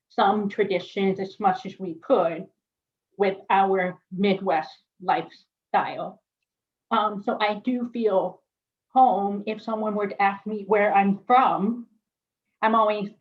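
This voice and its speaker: A woman's voice: medium pitch, highs cut off. A woman born in South Korea and raised near Chicago, a biologist telling personal stories on a panel in a thoughtful, reflective way. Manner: thoughtful, reflective, light laughs. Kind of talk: personal storytelling in a panel conversation.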